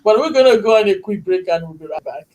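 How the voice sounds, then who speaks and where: Effusive preachy host, English second language. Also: female voice, mid-range voice, animated, fast, over music, poor audio. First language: not English. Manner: effusive, preachy, faith-filled. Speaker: talk show host. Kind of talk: casual chat show hosting.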